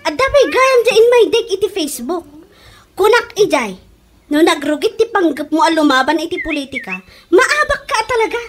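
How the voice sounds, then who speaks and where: A woman's voice: extremely high pitch, sing-song, moderate pace. An actress playing a teasing, gleefully gloating woman in an Ilocano radio comedy drama. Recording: noisy radio drama recording.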